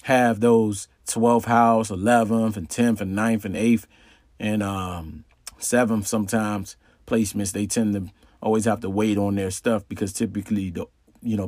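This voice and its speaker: A man's voice: on the low side, no room sound, studio quality. A man hosting an astrology talk in a casual, conversational way, repeating phrases and asking rhetorical questions. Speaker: astrology channel host. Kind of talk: casual explanatory monologue.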